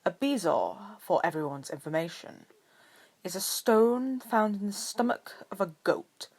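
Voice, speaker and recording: A woman voicing a stern, sour male potions professor in a storybook reading, with slow, drawn-out, curt phrasing. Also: mid-range voice, lively intonation, darkish tone, husky. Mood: curt, commanding.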